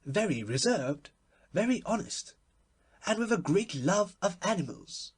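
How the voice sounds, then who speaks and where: A performer voicing a solemn, obsequious little palm-reading man, speaking in a deferential, precise, measured way in a storybook. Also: medium pitch, big pitch swings, husky, pitched above the performer's own voice. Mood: solemn, courteous.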